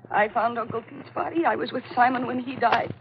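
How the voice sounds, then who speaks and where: A high-voiced young American woman , played by an actress, in an old radio thriller, frightened and close to hysterics. She speaks in halting, breathless phrases with melodramatic pleading. The broadcast is tinny and narrow, with heavy scratchy noise. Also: natural voice. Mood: drowsy, uneasy. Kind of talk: 1940s American radio mystery acting.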